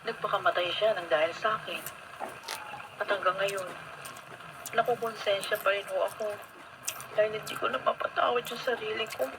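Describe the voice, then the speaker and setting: A woman's voice: medium pitch, steady tone, normal speed, long pauses, close to an everyday voice. An actress with a Filipino accent playing a caring, candid and earnest female teacher in a radio drama. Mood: remorseful. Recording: very noisy radio broadcast.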